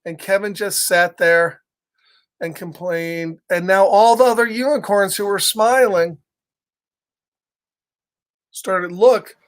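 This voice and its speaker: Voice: medium pitch, steady tone, slow pace, pauses often. A children's storyteller reading a picture book casually, in simple repeated phrases, checking in with listeners.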